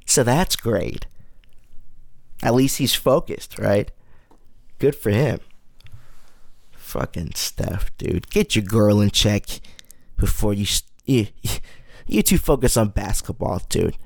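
A man's voice: on the low side, lively intonation, takes it slowly, creaky, pauses often, dry recording, clean studio sound. A man in his late twenties hosting a solo podcast, rambling through loose, profane casual commentary. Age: 20s. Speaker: podcast host.

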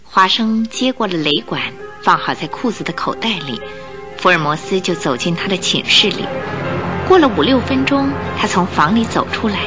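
A woman narrator telling a story in a scripted radio drama style. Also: medium pitch. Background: music.

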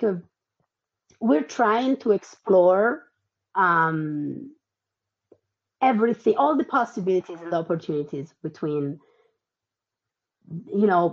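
A woman who grew up in Italy, a podcast guest chatting casually and hesitantly with lots of ums and restarts. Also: fairly low pitch. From Argentina and Italy. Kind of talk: casual interview chat. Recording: dull lo-fi audio.

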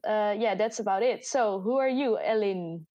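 A woman's voice: mid-range voice, moderate pace, warm tone, dry recording, muffled recording. Woman in her twenties, Dutch accent, chatty co-host, laughs a lot, dry close recording. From Netherlands. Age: 20s. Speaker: podcast co-host. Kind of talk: casual chat. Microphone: voice chat recording.

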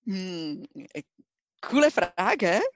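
A woman's voice: on the low side, big pitch swings, very slow, no room sound. A middle-aged Czech woman with a Czech accent gives a personal account as an interview guest, searching for her words with emphasis. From Czech Republic. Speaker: interview guest, hypnosis and regression therapist.

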